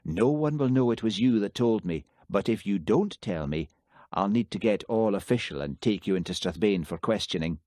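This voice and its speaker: Man playing calm patient constable, persistent, low voice, dry recording. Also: normal speed, no echo, natural voice.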